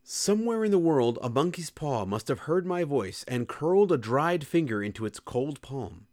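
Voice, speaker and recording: Lively reflective man reading his own essay. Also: fairly high pitch, dry recording, clean studio sound. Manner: reflective, candid and earnest. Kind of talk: scripted personal essay narration.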